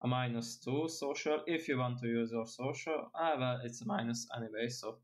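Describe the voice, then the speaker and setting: Male game master, mid-pitched, casual and halting. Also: over a noisy crowd. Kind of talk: casual tabletop game narration and rules talk.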